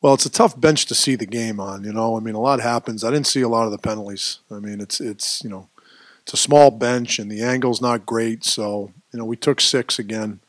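A middle-aged man as head coach at a postgame press conference, speaking at a table microphone in a press room, measured and dropping off at phrase ends, with many fillers. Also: medium pitch, no room sound. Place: press room with sponsor backdrop.

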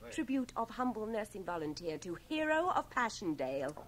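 An actress playing a tender, teasing young English volunteer nurse in a radio drama, poised and brisk. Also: female voice, mid-range voice, sing-song, close to an everyday voice. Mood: lightly teasing. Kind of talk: 1980 radio drama acting.

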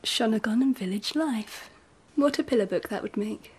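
An actress playing a gracious, teasing and wistful Empress in a radio drama, speaking softly and often close to a whisper, in a gentle, measured way. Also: female voice, on the high side. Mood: teasing, probing. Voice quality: soft, breathy, often near whisper. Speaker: actor.

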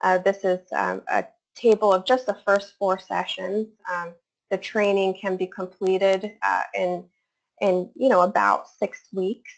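A woman's voice: mid-range voice, little pitch movement, measured pace, gravelly. A woman researcher giving a webinar presentation, hesitant, with many fillers and restarts. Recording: dull lo-fi webinar audio.

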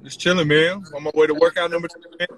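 A man's voice: fairly high pitch, little pitch movement, quick pace, crowd noise. A young man hosting a phone livestream from his car, laid-back and slangy. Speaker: livestream host. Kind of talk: casual chat. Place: parked car.

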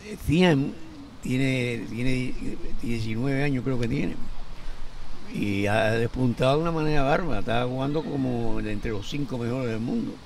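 A man's voice: mid-range voice, a little slow. A man in his seventies with a Cuban accent, a former tennis player talking emphatically in a casual interview at an outdoor court. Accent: Cuban Spanish. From Cuba. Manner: emphatic, repeats words as he talks. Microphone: handheld mic held by interviewer. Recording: distant handheld mic with outdoor noise.

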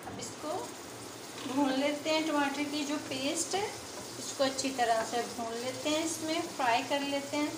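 A woman's voice: high-pitched, steady tone, unhurried, background noise. A woman hosting a home cooking video, chatty and explaining each step as she cooks at the stove. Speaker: home cooking channel host. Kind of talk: casual cooking demonstration. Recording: echoey kitchen with loud sizzling.

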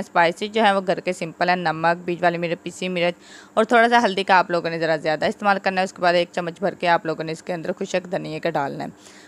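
A woman talking viewers through a home recipe, chatty and instructive. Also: lowish voice. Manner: chatty, instructive, repeats "aap logon ne". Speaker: cooking channel host. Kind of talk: home cooking tutorial voice-over. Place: home kitchen.

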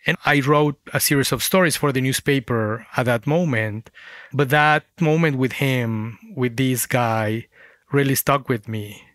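Male interview guest, Spanish speaker, reflective storyteller, mid-pitched, very dry recording. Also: unhurried, a bit bright, dry recording. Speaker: guest author, former journalist.

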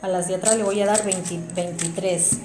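A woman's voice: on the low side, fairly even intonation, moderate pace. Woman explaining a craft in a roomy space. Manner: explanatory, addressing viewers directly. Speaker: craft tutorial presenter. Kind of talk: informal hands-on tutorial narration. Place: small domestic room indoors. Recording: roomy echo, music behind.

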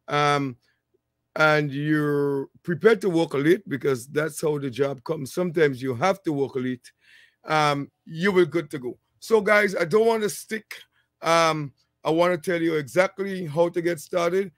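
Island-raised man in his thirties, vlog host, easygoing and rambling, fairly high voice, dry close headset sound. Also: measured pace, no room sound. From a small island. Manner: relaxed, rambling, many fillers. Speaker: channel host, HVAC technician. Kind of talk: casual advice vlog.

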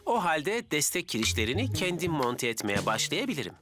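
A man's voice: a little high, sing-song, brisk, over music. A man voicing an easygoing, joking young apprentice builder in a cartoon, casual and wry. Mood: busy.

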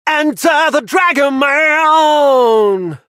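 An actor voicing a brash heavy-metal dragon-riding knight in a video game, with a bombastic, theatrical delivery and drawn-out battle cries. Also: high voice, animated, fairly bright tone, no echo, clean studio sound, exaggerated voice. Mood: fierce, fired up.

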